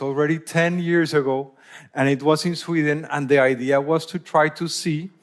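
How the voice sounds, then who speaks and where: A man from Colombia, giving a conference presentation as a hydrologist and often repeating words as he searches for phrasing. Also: on the high side.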